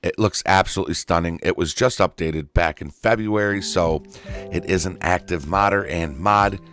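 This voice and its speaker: Male gaming tutorial host, low-pitched voice, folksy and casual, faint music underneath. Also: moderate pace, a bit bright. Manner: folksy, addresses viewers as y'all and folks. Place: indoors at a gaming computer, voice over screen recording.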